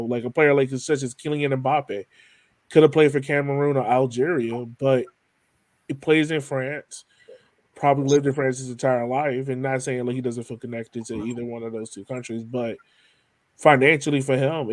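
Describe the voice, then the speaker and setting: A man's voice: medium pitch, no room sound. A man hosting a podcast, talking in a casual, conversational interview style. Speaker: podcast host.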